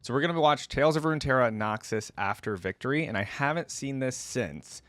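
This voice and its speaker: A man's voice: medium pitch, animated, fairly quick, a bit bright, slight background noise. A man hosting a reaction video, commenting casually and musing aloud in informal, slangy speech. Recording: faint music behind the voice.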